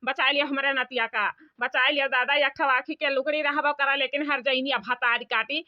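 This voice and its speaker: A woman's voice: high voice, steady tone, moderate pace, dry recording, voice pushed down, lightly acted. A woman voicing a worried old village grandmother in a comic cartoon, lamenting and repeating herself with an Awadhi accent. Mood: alarmed pleading. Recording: dull lo-fi cartoon soundtrack.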